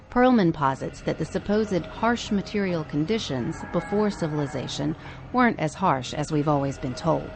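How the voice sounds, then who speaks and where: A woman podcast host reading scripted narration in measured, emphatic phrases. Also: fairly low pitch, creaky, darkish tone.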